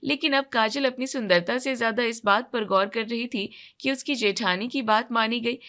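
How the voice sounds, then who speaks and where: Bright, fairly high female storyteller. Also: moderate pace. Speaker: story narrator. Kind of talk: scripted dramatic storytelling.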